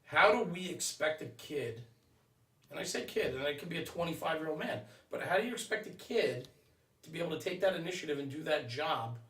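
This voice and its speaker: A man speaking in a halting, earnest way in a conversational podcast discussion, repeating himself as he goes. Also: on the high side, expressive, fairly bright tone. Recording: roomy echo, distant microphone.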